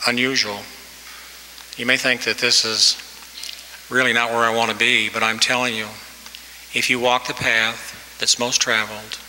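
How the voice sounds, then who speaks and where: A man's voice: mid-range voice, sharp tone, slight background noise. A man in his sixties giving a commencement address at a podium microphone, earnest and exhorting, pushing his voice up for emphasis. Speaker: commencement speaker. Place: large hall. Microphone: podium mic. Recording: reverberant hall, faint ambience.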